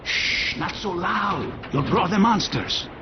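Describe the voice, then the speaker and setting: A man's voice: high-pitched, lively intonation, slow. An actor playing an anxious, worn-down male villager, nervous and exasperated, in a video game scene. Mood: wary. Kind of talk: cinematic video game acting. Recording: muffled game capture, faint noise.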